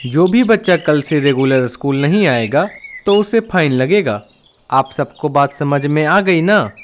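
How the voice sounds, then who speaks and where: A man's voice: fairly high pitch, animated, a little slow, slight background noise. A man voicing a crow school principal in a cartoon, formal and instructive as he addresses pupils. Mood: instructive, firm. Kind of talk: Hindi cartoon voice acting.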